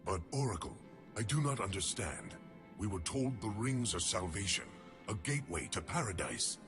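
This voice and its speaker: A man's voice: lowish voice, big pitch swings, normal speed. A man playing a commanding alien warrior in a game cutscene, speaking in a stately, formal and weighty manner over music. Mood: reverent, puzzled.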